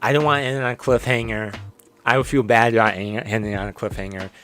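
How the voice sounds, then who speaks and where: A man's voice: fairly low pitch, steady tone, quick pace, fairly bright tone, a little hiss or hum. Tired young man with a strained voice. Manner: tired, rambling, chuckling often. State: losing his voice. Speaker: streamer. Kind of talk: casual stream sign-off chat. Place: small bedroom, indoors.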